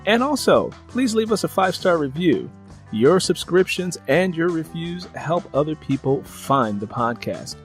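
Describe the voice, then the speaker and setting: A man hosting an interview podcast, speaking in drawn-out, emphatic phrases. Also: on the high side, big pitch swings, faint music behind.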